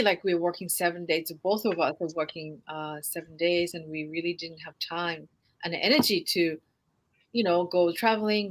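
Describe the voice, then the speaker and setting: Japanese-accented woman, lowish voice. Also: a bit bright, poor audio. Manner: friendly, chatty, frequent "you know". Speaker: guest, healing practice owner. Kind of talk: casual interview answers.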